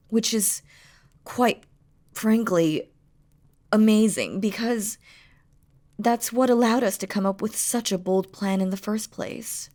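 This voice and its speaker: Woman voicing a slow, seductive demon queen in love. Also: fairly high pitch, darkish tone. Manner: slow, tender, teasing, regal formal phrasing. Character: feared demon queen, doting, playful, seductive lover. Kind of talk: intimate romantic audio roleplay.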